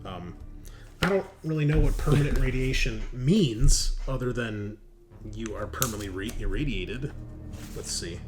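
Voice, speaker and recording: A man's voice: medium pitch, animated, normal speed. Man running a tabletop game over music. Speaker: game master. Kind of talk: running a tabletop roleplaying game, casual rules talk and narration.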